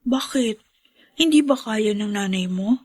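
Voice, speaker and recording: An actress playing a caring, encouraging teenage schoolgirl with a gentle manner in a Filipino radio drama, with a Filipino accent. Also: mid-range voice, lively intonation, unhurried, slightly rough, no room sound, clean studio sound. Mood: caring, concerned. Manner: gentle, concerned.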